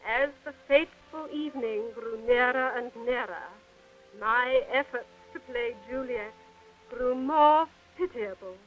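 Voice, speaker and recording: An old, phone-thin radio recording with music carries a high-strung young actress , played by a woman. Her voice is high and slow, with theatrical, emotive phrasing. She is a weary, rebellious daughter in a 1940s radio play. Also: lightly acted. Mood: wistful, reflective. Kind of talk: 1940s radio drama acting. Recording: muffled narrow-band 1940 radio, music.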